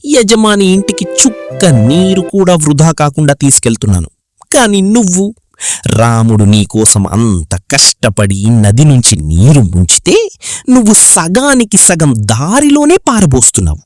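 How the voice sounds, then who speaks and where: A haughty, flawless clay pot from an animated fable , voiced by a woman, speaks in a low register with wide melodic swings. The manner is boastful and taunting, close and dry, over soft music. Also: a little slow, dry recording. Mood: mocking, boastful. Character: proud, mocking, flawless clay water pot. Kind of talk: animated moral story character acting.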